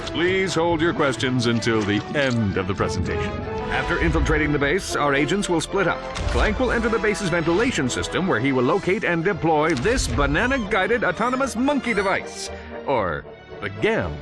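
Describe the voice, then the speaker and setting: A man's voice: on the high side, sing-song, moderate pace, over music. An actor playing a fussy, officious male presenter who delivers a formal game mission briefing.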